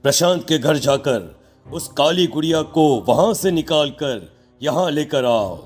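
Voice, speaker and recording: Man playing a stern, higher-pitched holy man. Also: expressive, unhurried, soft background music. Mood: solemn, instructing. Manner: grave, solemn, accusing. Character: stern, accusing male occult holy man. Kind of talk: horror cartoon voice acting.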